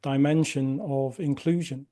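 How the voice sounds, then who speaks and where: A man musing aloud in a measured way during a podcast conversation. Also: medium pitch, steady tone, dark tone. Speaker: podcast co-host.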